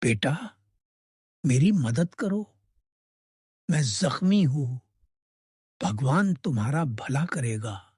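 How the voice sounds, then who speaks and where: A man playing a solemn old hermit sage in a cartoon story, with a husky voice. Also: mid-range voice, expressive, a little mellow, dry recording, clean studio sound, heavily acted. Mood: pained, pleading. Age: older. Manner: slow, solemn pronouncements. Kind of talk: Hindi animated moral story dialogue.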